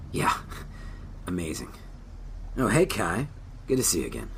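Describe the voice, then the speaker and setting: Man as very deep, groggy wounded commander. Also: wildly swooping pitch, slow, vocal fry, a bit bright, heavily acted. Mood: groggy, deadpan. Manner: sluggish, terse. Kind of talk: audio drama acting. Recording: echoey, with faint background noise.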